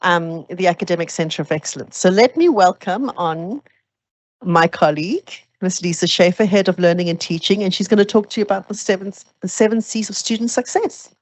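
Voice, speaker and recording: A woman explaining academic procedures to new students at an orientation, steady and practical with some fillers. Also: fairly low pitch, brisk, low-quality recording. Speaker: orientation presenter.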